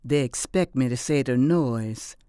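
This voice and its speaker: An actress playing a reflective, weary but resilient former prisoner and mother in middle age, delivering an intimate, deliberate audio drama monologue. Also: a bass voice, warm tone, no echo, studio quality. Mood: somber, reflective.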